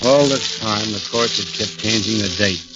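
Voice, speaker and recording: A man's voice: fairly low pitch, moderate pace, very noisy, natural voice. An actor playing a haunted, plain-spoken American condemned man, narrating his ordeal in a 1940s radio drama. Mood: resigned. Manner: plain-spoken, brooding, confiding. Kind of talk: 1940s radio acting, first-person narration. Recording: dull 1940s radio recording, loud music behind.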